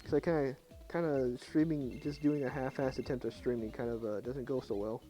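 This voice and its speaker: Young man, low soft voice, mellow tone, quiet streamer chatter, faint game music under it. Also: steady tone, fairly quick, rough recording. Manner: quiet and subdued, talking softly at night. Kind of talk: casual live game commentary.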